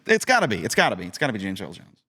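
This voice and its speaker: A man's voice: fairly low pitch, wildly swooping pitch, racing, sharp tone, husky. A man chatting casually as a podcast guest, emphatic and repeating himself for effect. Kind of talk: casual chat.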